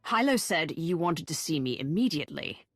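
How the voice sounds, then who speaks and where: An actress playing a cool, commanding Sith commander in a video game, direct and controlled. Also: female voice, sits low, very animated, moderate pace, no echo, clean studio sound, exaggerated voice. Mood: brisk.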